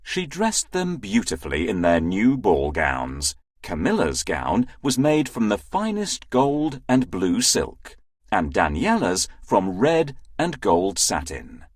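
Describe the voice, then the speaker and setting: Low, husky, expressive storyteller. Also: takes it slowly, no echo, clean studio sound. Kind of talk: expressive scripted children's fairy tale narration.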